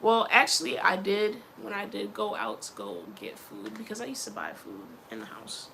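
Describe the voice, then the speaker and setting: A woman's voice: medium pitch, sing-song, normal speed, creaky, a bit bright, a little hiss or hum. A young woman from Philadelphia with a Philly accent, talking casually and rambling in a personal vlog, often laughing and mentioning her faith. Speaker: vlogger.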